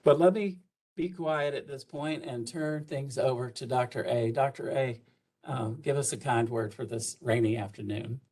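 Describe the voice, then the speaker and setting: A man's voice: medium pitch, moderate pace, a little mellow. Older man, college administrator, folksy welcome on a video call, lots of fillers. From South Georgia, USA. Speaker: college administrator introducing the president.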